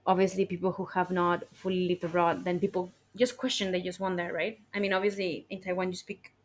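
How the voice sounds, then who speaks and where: A young woman with a slightly low voice co-hosts a casual podcast. She asks curious follow-up questions and nods along with short affirmations. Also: level tone, a little fast, a little hiss or hum. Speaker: podcast co-host. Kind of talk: casual chat.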